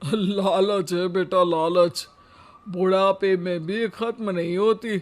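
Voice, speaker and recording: A man acts out an old henpecked husband in a comic cartoon tale. His voice is very high for a man, and he talks slowly. He is wry and sarcastic, forever needling with mock pleading. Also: steady tone. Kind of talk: comic animated moral story acting.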